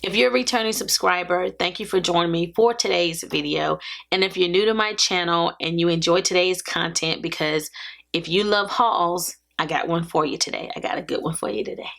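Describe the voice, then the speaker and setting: A woman in her forties, hoarse from allergies, chatting casually as a beauty vlogger. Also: on the low side, a little fast, fairly bright tone, slight background noise. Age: 40s. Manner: chatty, laughs often. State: raspy from allergies. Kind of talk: casual haul vlog.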